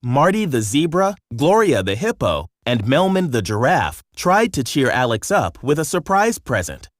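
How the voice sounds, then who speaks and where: A man narrating a children's story from a script in an expressive storytelling manner. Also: mid-range voice, normal speed, no room sound, studio quality.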